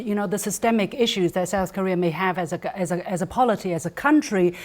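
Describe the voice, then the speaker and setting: A woman's voice: medium pitch, a little mellow. A woman hosting a news panel discussion, pressing her guests with probing questions and cutting in to steer them back on track.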